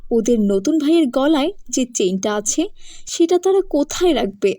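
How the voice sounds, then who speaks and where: A woman's voice: sits high, animated, normal speed, dark tone, dry recording, studio quality. A woman narrating a scripted cartoon story as a storyteller.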